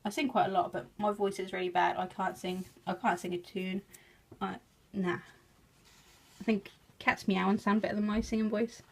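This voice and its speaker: A woman's voice: medium pitch, little pitch movement, normal speed, creaky, a little hiss or hum. A woman with an English accent, chatting casually as she shows her craft work, laughing often and joking about herself. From England. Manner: chatty, giggly, self-deprecating. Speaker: craft vlogger. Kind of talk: casual show-and-tell narration.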